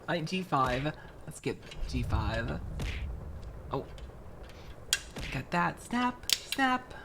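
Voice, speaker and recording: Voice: medium pitch, big pitch swings, very slow, vocal fry, background noise. Chatty streamer greeting viewers mid-build. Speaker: VTuber streamer. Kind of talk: casual live-stream chat while building a model kit.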